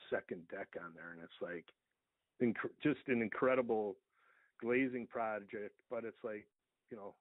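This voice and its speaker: An American man, a small business owner, earnestly explaining things at length in a conversational interview over the telephone. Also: on the low side. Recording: thin, echoey phone line.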